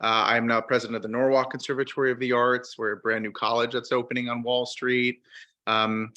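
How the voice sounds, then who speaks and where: A man's voice: mid-range voice, flat delivery, brisk, pushed and bright, muffled recording. A man from Miami introducing himself to a commission meeting, hesitant with many fillers but friendly. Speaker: commission vice chair, college president.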